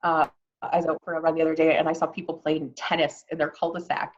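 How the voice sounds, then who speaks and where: Canadian woman presenting, lower-pitched voice. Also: little pitch movement, fast, highs cut off. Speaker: workshop presenter on mental health. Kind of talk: informal online workshop presentation.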